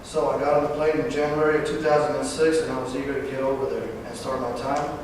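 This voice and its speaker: A man in his twenties from Northern California, a veteran giving a personal talk, halting at times with fillers and self-corrections. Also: mid-range voice, level tone. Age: 20s. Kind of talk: personal speech read to an audience. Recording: distant, echoey hall recording with background noise.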